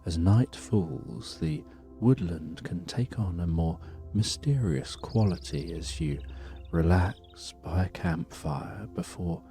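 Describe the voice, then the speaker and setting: A man narrating a sleep story in a calm, gentle, guiding way. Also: low voice, slow pace, vocal fry, faint music behind.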